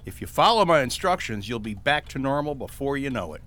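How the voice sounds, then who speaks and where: A calm frontier doctor played by a man in a western radio drama. He speaks at a medium pitch in a measured, reassuring, matter-of-fact way.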